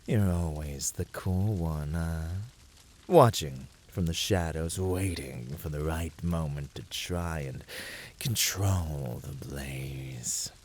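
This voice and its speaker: A man playing a flirty, fiery incubus servant in a scripted ASMR roleplay, teasing with theatrical, drawn-out delivery. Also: extremely low pitch, darkish tone, slightly rough, exaggerated voice. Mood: playful teasing.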